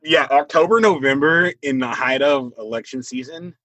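A man's voice: medium pitch, moderate pace, sharp tone, dry recording. A man in his forties with an American accent, talking casually and thinking aloud with repeated phrases. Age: 40s. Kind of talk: casual conversation, opinionated.

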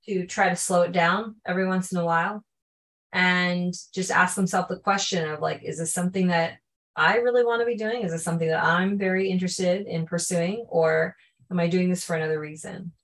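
A woman answering as a podcast guest, thoughtful and earnest, often pausing to search for words. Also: on the low side, steady tone, fairly bright tone. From Canada. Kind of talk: reflective interview answers.

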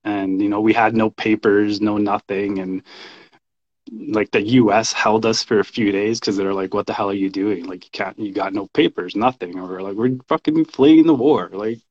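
A man in his thirties with a fairly deep voice talks casually about his own life. His delivery is informal and halting, as in a relaxed interview. The recording is a little dull and lo-fi. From Canada. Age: 30s. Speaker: podcast guest, men's coach and shamanic practitioner. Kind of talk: casual personal storytelling in an interview.

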